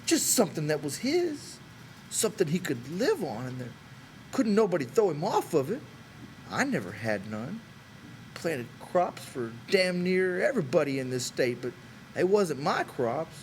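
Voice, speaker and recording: A man's voice: sits high, big pitch swings, unhurried, slight background noise, pitched above the performer's own voice, heavily acted. A man voicing an old, wistful and stubborn American ranch hand with earnest, folksy talk, for an audiobook. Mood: earnest, dreamy. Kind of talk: dramatic audiobook character voice.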